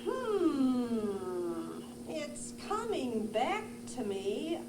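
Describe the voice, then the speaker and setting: Woman voicing a grumpy, high-pitched raccoon puppet. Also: big pitch swings, heavily acted. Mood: explaining. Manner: grumbling, emphatic, drawn-out. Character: grumpy, bossy, know-it-all male raccoon puppet. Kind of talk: children's puppet show acting. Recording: roomy, echoing, noisy live puppet show.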